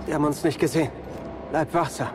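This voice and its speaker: An earnest young man played by an actor as a defiant fugitive hero in a sci-fi radio play. His voice sits a little high, guarded in manner, with music clearly behind it. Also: fairly even intonation, fast talker, close to an everyday voice. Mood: wary. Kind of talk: German audio drama acting.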